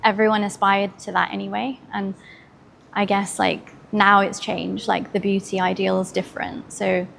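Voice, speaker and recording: A woman's voice: medium pitch, flat delivery, normal speed, vocal fry, a bit bright. A woman with a British accent, chatting casually as an interview guest in an informal, upbeat, open manner. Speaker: podcast guest, fashion designer. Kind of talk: casual conversational chat. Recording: faint background ambient noise.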